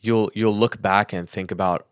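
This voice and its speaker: A man's voice: on the low side, fairly even intonation, normal speed, clear, resonant tone, dry recording, clean studio sound. A man talking casually and at length as a podcast co-host, in a chatty, rambling storytelling way. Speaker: podcast co-host, audio engineer.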